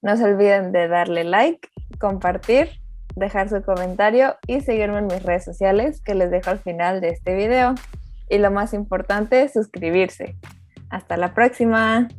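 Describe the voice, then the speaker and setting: A woman's voice: medium pitch, measured pace, a little mellow, soft background music. Woman hosting a friendly interview. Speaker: host. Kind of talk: friendly interview, casual chat.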